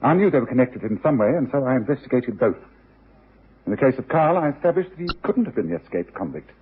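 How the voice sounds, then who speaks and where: An actor playing a confident, analytical Victorian detective in a radio drama, speaking with precise authority. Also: male voice, mid-range voice, big pitch swings, quick pace, a little hiss or hum, natural voice. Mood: explaining, assured. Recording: muffled phone-like old radio recording.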